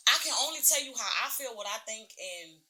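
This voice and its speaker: A woman co-hosting a podcast in casual chat, opinionated and animated, with a strong voice that she says can come off as aggressive, and she laughs often. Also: fairly high pitch, fast talker. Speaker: podcast co-host.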